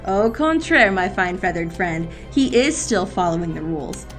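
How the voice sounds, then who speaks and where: A woman's voice: on the low side, big pitch swings, moderate pace, soft background music. A woman playing a commanding, dry-witted agency boss in an audio drama, decisive and authoritative. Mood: thoughtful, assertive.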